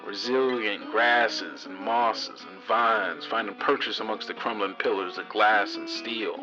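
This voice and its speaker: A man's voice: lowish voice, lo-fi sound, close to an everyday voice. A man playing a wry, fatherly radio host in a post-apocalyptic audio drama, talking in an easygoing, folksy way. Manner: folksy, easygoing, drawling storyteller phrasing. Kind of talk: in-character radio broadcast monologue. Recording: thin band-limited radio sound under music. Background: guitar music.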